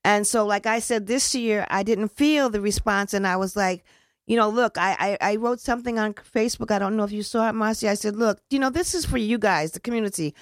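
A woman who organizes community events, speaking in a loose, earnest, rambling way. She restarts her sentences often and slips into casual slang. Her voice sits at an ordinary pitch and is recorded dry and close, with no room sound. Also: fairly quick, dry recording. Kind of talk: casual interview talk.

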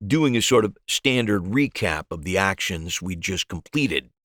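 A man's voice: low voice, lively intonation, unhurried, fairly bright tone, no room sound, studio quality. A man reading a first-person story aloud as a scripted narration. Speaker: audiobook narrator.

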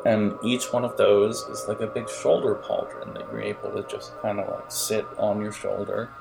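A man improvising narration as game master in a halting, digressive manner, with music behind the voice. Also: fairly low pitch, little pitch movement, gravelly, rough recording.

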